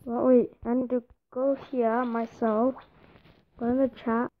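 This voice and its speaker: A woman's voice: fairly high pitch, little pitch movement, unhurried. A young woman chatting on a casual live stream, distracted and muttering to herself as she reads the chat. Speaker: livestreamer. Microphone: phone or device mic. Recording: dull lo-fi phone stream with faint noise.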